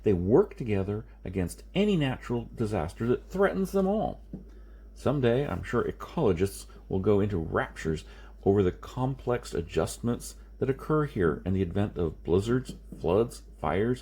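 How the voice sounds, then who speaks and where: An insistent man lectures and explains with great emphasis , as read by a male audiobook narrator. His husky voice is lowish and swings widely in pitch as he reasons. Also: very animated, normal speed, mellow, exaggerated voice. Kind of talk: audiobook character dialogue.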